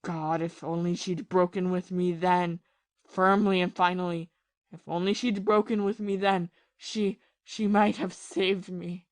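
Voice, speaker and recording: A woman playing a bitter, lovesick, ruined ex-army captain in a period audio play, confessing passionately and haltingly. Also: on the low side, little pitch movement, dry recording, natural voice. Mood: bitter, despairing. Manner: confessional, impassioned, halting with repeated phrases.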